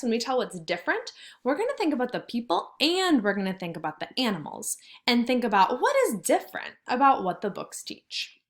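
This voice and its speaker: Expressive woman teaching young children, higher-pitched voice. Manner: expressive, simple wording with questions to pupils. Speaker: kindergarten teacher. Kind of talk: read-aloud lesson for young children.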